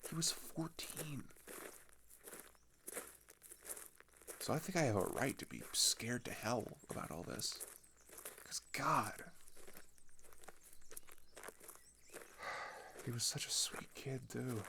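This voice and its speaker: A young man playing a scared, grieving older teenage boy in an intimate audio roleplay, talking in a halting, casual, rambling way. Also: mid-range voice, lively intonation, vocal fry, sharp tone, a little hiss or hum, heavily acted. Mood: anguished, indignant. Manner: halting, rambling, casual youthful speech with sighs.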